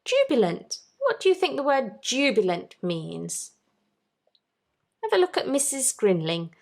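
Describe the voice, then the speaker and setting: A woman's voice: sits high, wildly swooping pitch, a little slow, creaky, darkish tone. A woman reading a children's storybook aloud as host, narrating expressively for young listeners.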